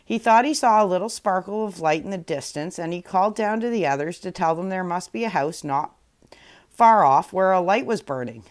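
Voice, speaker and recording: A woman's voice: lowish voice, lively intonation, brisk. Woman storyteller reading a fairy tale aloud. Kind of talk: expressive read-aloud fairy tale narration.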